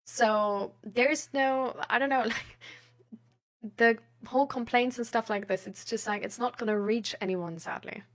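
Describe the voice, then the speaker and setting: High-voiced woman chatting casually. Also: unhurried. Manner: informal, thinking aloud, frequent "like". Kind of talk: casual rambling chat.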